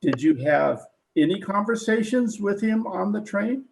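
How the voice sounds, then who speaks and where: A dogged police sergeant from a radio mystery, played by a man, talks in a high, gravelly voice. He is slow and folksy, polite but full of hesitant questions. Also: lively intonation, slight background noise, full character voice. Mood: polite, probing. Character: plain-spoken, dogged police detective sergeant. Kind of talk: old-time radio drama acting.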